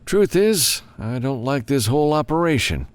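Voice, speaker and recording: A man's voice: mid-range voice, sing-song, moderate pace, voice pushed up, close to an everyday voice. A man voicing a grim, commanding government lawman in an audiobook, giving a frank, businesslike briefing. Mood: frank, uneasy.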